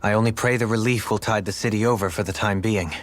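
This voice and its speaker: A man's voice: lowish voice, fairly even intonation, fast talker, heavy reverb, in a large hall, far from the mic, lightly acted. Man playing a formal, eloquent noble lord. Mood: sober. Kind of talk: video game voice acting.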